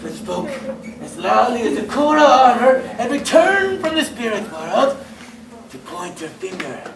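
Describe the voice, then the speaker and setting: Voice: medium pitch, sing-song, unhurried, vocal fry. An actor playing a sinister, gloating hermit who hosts an old-time radio horror show, theatrical and menacing. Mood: ominous. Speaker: narrator, horror show host. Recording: very noisy, echoey, distant recording.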